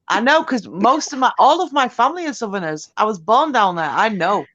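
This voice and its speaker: A woman's voice: fairly high pitch, lively intonation, fairly quick, a bit bright, no room sound, highs cut off. A young woman with a Yorkshire accent, laughing often and trading blunt, sweary banter in a casual late-night chat. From born in Cambridge, raised in Yorkshire.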